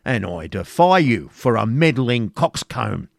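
A man's voice: mid-range voice, very animated, a little slow, gravelly, no room sound. Male reader as belligerent storybook friar: burly, bombastic, archaic diction, dry sound. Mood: defiant. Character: burly, belligerent, blustering medieval friar, quick to fight.

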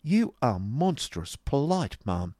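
A man's voice: on the low side, very animated, measured pace, dark tone, dry recording, studio quality, voice pushed up. A man voicing a pert, vain young woman with an affected, mockingly polite manner in a novel reading. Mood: taunting. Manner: affected, taunting, prim.

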